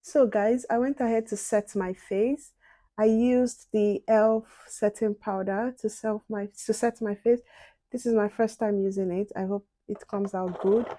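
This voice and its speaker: A soft-spoken young woman chatting casually to viewers while doing her makeup. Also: mid-range voice, fairly even intonation, a little slow, no echo. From outside the UK. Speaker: beauty and advice vlogger.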